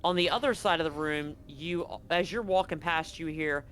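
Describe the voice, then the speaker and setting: A man improvising descriptive narration as game master of a tabletop horror game, in a halting, descriptive way. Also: a little high, a bit bright, a little hiss or hum.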